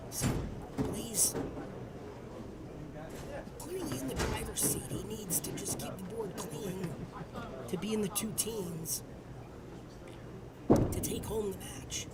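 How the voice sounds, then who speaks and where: A man calling live bowling play-by-play as tournament director, calm and matter-of-fact while getting over a cold. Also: sits high, slow pace, pauses often. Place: bowling alley. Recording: distant mic with loud background noise.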